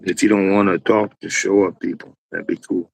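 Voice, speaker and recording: Deep raspy man chatting alone. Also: flat delivery, brisk, no room sound, rough recording. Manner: rambling, talks to himself while waiting. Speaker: host of a live chat room. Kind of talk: casual solo chat to an empty room. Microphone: small external mic.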